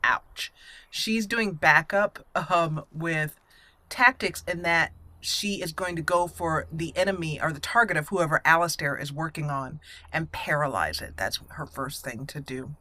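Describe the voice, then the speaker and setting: A woman giving casual, roleplay-minded let's play commentary, thinking aloud with hesitant pauses as she hosts. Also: low-pitched, sharp tone. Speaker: gameplay host.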